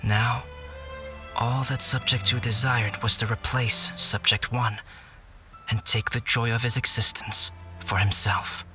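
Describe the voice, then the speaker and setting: A man playing a calm, scholarly young alchemist in a video game, speaking in a measured, articulate way. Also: mid-range voice, music in the background, natural voice. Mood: solemn storytelling. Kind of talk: video game voice acting, storytelling.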